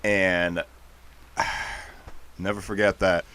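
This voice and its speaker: A man's voice: sits low, level tone, very slow, pushed and bright, clear, resonant tone. A man doing casual, joking game commentary with a wordy, playful way of telling things. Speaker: let's play co-commentator.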